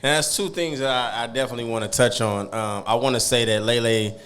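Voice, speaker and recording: A man hosting a podcast, talking in a relaxed, slangy way and often softly. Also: mid-range voice, quick pace, a little hiss or hum. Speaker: podcast host. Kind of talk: casual podcast interview.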